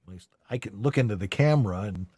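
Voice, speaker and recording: Lively, breezy male show host. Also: fairly low pitch, fast talker, husky, no room sound, studio quality. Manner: breezy broadcast-style patter. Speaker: podcast co-host. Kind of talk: casual livestream interview.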